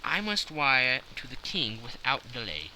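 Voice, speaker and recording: A man voicing a confident, analytical gentleman detective who explains things precisely and with self-satisfaction in an audiobook. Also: mid-range voice, big pitch swings, measured pace, a little hiss or hum, exaggerated voice, rough recording. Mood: brisk, confident. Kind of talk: audiobook character acting.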